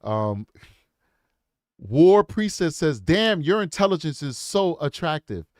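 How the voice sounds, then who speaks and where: A man hosting a true crime livestream, reading super chats aloud and thanking viewers in an upbeat, repetitive way with lots of ums. His voice is hoarse and worn out. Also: on the high side, big pitch swings, slow pace, darkish tone, dry recording, studio quality. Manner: upbeat, repeats himself, frequent ums.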